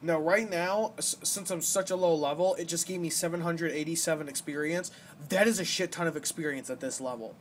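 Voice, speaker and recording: Young male gaming host, slightly high voice, rambling explanatory delivery, noisy amateur home recording. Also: brisk. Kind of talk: casual gameplay commentary.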